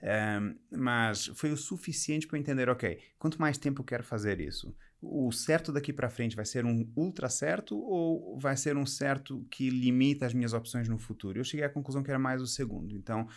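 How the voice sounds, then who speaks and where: A man's voice: medium pitch, brisk, few pauses. Man with a Portuguese accent, reflective and explanatory. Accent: Portuguese, softened by years in Brazil. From Portugal, raised there from age seven. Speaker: podcast guest, business executive. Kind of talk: conversational interview, personal career account.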